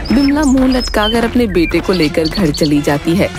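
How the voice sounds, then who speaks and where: A woman's voice: medium pitch, sing-song, moderate pace, over music. A woman reading scripted narration for a cartoon story.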